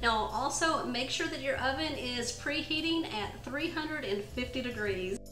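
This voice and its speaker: A woman's voice: high voice, unhurried, music in the background. A middle-aged woman in her home kitchen, chatting viewers step by step through a casserole recipe. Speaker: home cooking channel host. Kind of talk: casual cooking demonstration.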